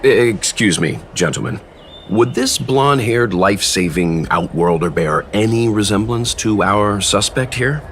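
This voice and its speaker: Male game investigator: deep, formal, courteous questioner over faint background noise. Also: animated, a little slow, fairly bright tone. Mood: politely probing. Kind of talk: video game voice acting.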